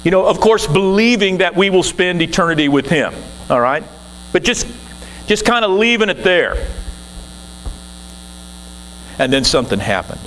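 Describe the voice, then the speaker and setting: A man's voice: high-pitched, very animated, moderate pace, a bit bright, a little hiss or hum, low-quality recording. A man in his sixties, a guest minister, preaching an earnest, conversational sermon from the pulpit of a church.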